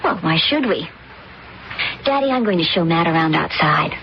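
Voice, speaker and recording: A brisk, bossy young daughter. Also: female voice, sits low, lively intonation. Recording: dull old radio recording with hiss.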